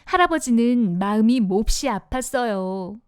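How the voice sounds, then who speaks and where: Brief: woman reading a kids' fairy tale, high and lively, dry close mic. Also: unhurried, clean tone, no room sound. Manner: sing-song, expressive. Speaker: narrator. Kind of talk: children's storybook narration.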